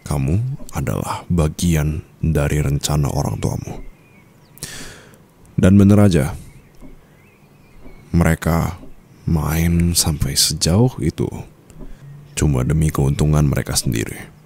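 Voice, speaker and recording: A man's voice: dark tone, slight background noise, heavily acted. A man playing a calculating, coolly dominant mafia boss husband in an intimate ASMR roleplay, speaking in a teasing, smug manner. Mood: calm, calculating. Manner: slow, teasing, smug, with low chuckles and hums.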